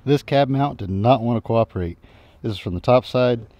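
A man in his thirties giving a relaxed, plain-spoken walkthrough of his junkyard, often trailing off quietly at the end of a remark. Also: mid-range voice, normal speed, dull audio, background noise. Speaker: junkyard owner and channel host. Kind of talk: casual vlog walkthrough. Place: outdoor junkyard and inside a pickup truck.

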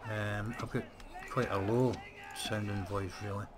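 Low-voiced man chatting through gameplay. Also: little pitch movement, unhurried. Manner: chatty, muttering to himself. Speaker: let's play gamer. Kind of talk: casual gameplay commentary. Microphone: desk mic set close.

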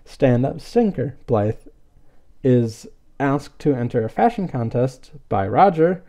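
A man's voice: medium pitch, dark tone. A man hosting a podcast, casually reviewing an episode in a rambling, hesitant but enthusiastic way. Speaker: podcast host.

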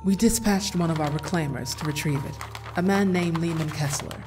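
A woman playing a composed female leader in a comic dub, giving a briefing in a measured, solemn manner. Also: sits low, over music, close to an everyday voice. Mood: grave.

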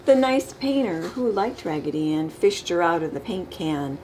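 A middle-aged woman reading a children's picture book aloud with expressive, emphasized storybook delivery. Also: on the low side, moderate pace, rough recording. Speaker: storytime reader. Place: living room, indoors. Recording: clear background room noise.

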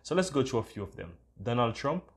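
A man's voice: medium pitch, sing-song, fast. A man hosting a casual screen-recorded tutorial, talking in an enthusiastic, repetitive way. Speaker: tutorial host.

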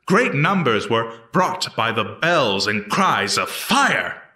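A man playing an earnest eighteenth-century prosecutor in a historical reenactment, addressing a jury in deliberate, declamatory, formal courtroom oratory. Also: medium pitch, big pitch swings, sharp tone, exaggerated voice. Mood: measured narrative. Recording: echoey room, distant microphone.

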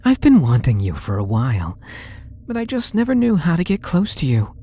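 A man's voice: fairly high pitch, wildly swooping pitch, warm tone, heavily acted. A young man playing a possessive, sweetly menacing male stalker in an ASMR roleplay audio drama, with a taunting, coaxing manner. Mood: smug, confiding. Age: young adult. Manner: slow, taunting, coaxing delivery.

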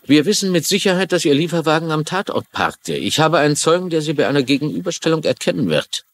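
A man's voice: a little high, sing-song, fast talker. A man playing a sarcastic police lieutenant questioning people in a dubbed TV crime drama. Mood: sardonic. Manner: sardonic, interrogating. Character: gruff, sarcastic middle-aged male police lieutenant.

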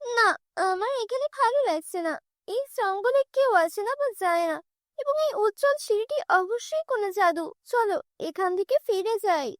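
Woman acting a humble cartoon orphan girl, wary elder sister, very high voice, earnest. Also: animated, normal speed, dry recording, studio quality.

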